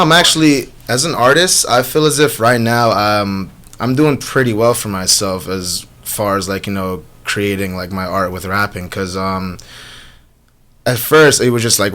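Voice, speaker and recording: Low-pitched young man from Jamaica, slangy storyteller. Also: normal speed. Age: 20s. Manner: slangy, animated storytelling with frequent "you know what I'm saying". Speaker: rapper being interviewed. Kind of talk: casual radio interview answers. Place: small radio studio. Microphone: studio microphone.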